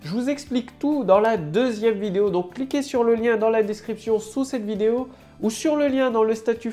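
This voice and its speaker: A woman's voice: on the high side, warm tone. A woman with a head cold giving a casual marketing tutorial to camera, loose and full of fillers and restarts. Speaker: online marketing coach.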